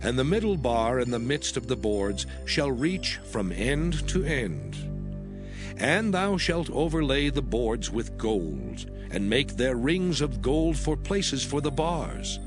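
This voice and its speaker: A man narrating a dramatized scripture reading in a solemn, measured, formal manner. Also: medium pitch, very animated. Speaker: audio Bible narrator. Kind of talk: dramatized scripture reading from the King James Bible. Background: background music.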